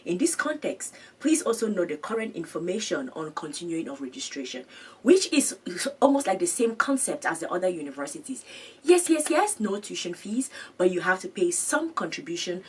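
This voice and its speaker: A woman's voice: fairly low pitch, big pitch swings, normal speed, far from the mic, a little hiss or hum. A woman hosting an education channel, chatting through web pages on screen, repeating her points and laughing at her own pronunciation.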